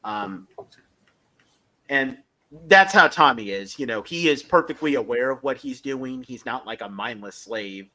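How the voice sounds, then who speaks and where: A man co-hosting a podcast, explaining a story in a casual, halting review style with emphatic stresses. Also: medium pitch, expressive, pushed and bright. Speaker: podcast co-host.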